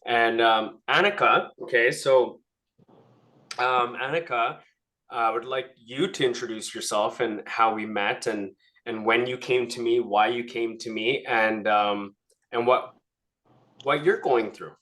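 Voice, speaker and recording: A man's voice: medium pitch, measured pace, a bit bright. Man, group coach, casual talk, conversational, mid-range voice. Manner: conversational, often adds a questioning "right?". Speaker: life coach leading a group session.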